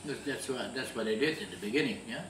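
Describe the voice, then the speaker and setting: A man's voice: mid-range voice, quick pace, fairly bright tone. A man in his eighties from Indonesia, recalling his life in an interview, often restarting and repeating words. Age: 80s. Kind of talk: recalled wartime memories, conversational. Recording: roomy echo, distant mic, faint hum.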